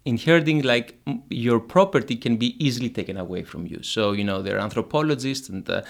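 A man's voice: medium pitch, brisk, gravelly. Guy, researcher explaining his paper, lots of uh and you know, restarts a lot. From Greece. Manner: hesitant, many fillers and restarts. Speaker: economics researcher, guest. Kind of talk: academic interview.

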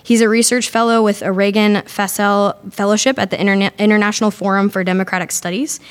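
A woman's voice: mid-range voice, level tone, quick pace. A young woman introducing speakers at a live event, upbeat and with many fillers. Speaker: event host.

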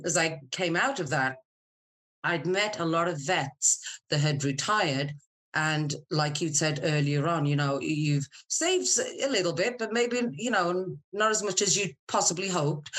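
A middle-aged woman with a low-pitched voice. She talks as an interview guest, casual and candid. Her delivery is chatty and conversational, suited to personal storytelling. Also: a bit bright. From Scotland. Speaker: podcast guest, military spouse and business owner.

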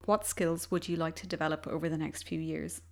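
A woman who teaches languages, interviewing a colleague in a measured, reflective way. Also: deep voice, little pitch movement, darkish tone. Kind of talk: casual conversation.

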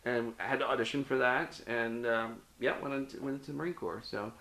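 A man's voice: mid-range voice, steady tone, fairly quick, vocal fry, sharp tone. Middle-aged Midwestern man, interview guest, hesitant, ums and restarts, recorded in an echoey room. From Cincinnati, Ohio (US Midwest). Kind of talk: casual interview, telling his life story.

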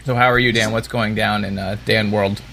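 A man's voice: lowish voice, flat delivery, a little fast, creaky, sharp tone, a little hiss or hum. A man hosting a casual podcast. He is chatty, using many fillers and laughing often. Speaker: podcast host.

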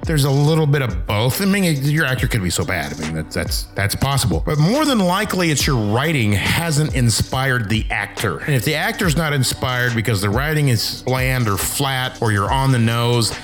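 A man's voice: medium pitch, big pitch swings, moderate pace, few pauses, fairly bright tone, faint music behind. A man giving casual, instructional advice as a screenwriter, rambling and emphatic, with a wry, self-deprecating manner. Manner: self-deprecating, wry, acts out imagined reactions. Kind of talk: casual instructional talk to camera.

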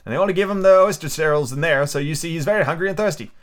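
A man voicing an eager, excitable royal messenger in a storybook, with an impatient manner. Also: on the high side, rapid-fire, smooth, pitched above the performer's own voice, lightly acted. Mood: confiding.

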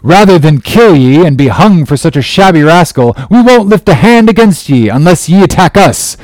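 A man's voice: a little high, expressive, moderate pace, a little mellow, no room sound, pitched above the performer's own voice, close to an everyday voice, poor audio. A man voicing a defiant, rebellious sailor who leads a mutiny, crying out boldly in a dramatic audiobook reading. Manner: jerking out sentences, crying out boldly.

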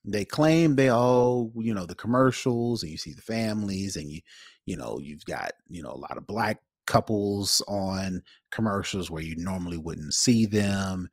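A man co-hosting a podcast, giving his opinions casually in an emphatic, halting way. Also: deep voice, animated, no echo, clean studio sound. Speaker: podcast co-host.

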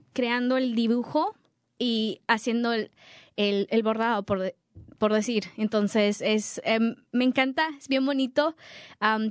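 A young woman from Guatemala, a guest in an interview, speaking softly and hesitantly with many fillers. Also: a little high, clear, resonant tone, no echo, studio quality. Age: young adult. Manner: soft-spoken, hesitant with fillers. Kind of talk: casual interview answers.

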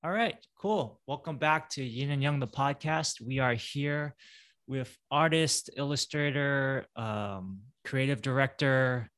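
A man at an ordinary medium pitch hosts a relaxed podcast chat. He talks in a halting way, full of ums, uhs and restarts. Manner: halting, many fillers and restarts. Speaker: podcast host. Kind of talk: casual interview chat.

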